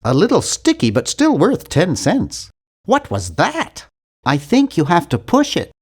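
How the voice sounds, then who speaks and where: A man's voice: sits high, wildly swooping pitch, a little slow, slightly rough, exaggerated voice. An actor playing a nerdy, earnest, awkward young man in an adventure game, with a geeky, fussy, wryly polite delivery. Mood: matter-of-fact, fussy. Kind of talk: scripted video game voice lines.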